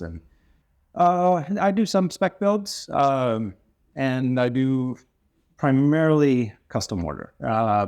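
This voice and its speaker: A man in his thirties from North Carolina, an instrument maker answering interview questions in an easygoing, thoughtful way with many pauses. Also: sits low.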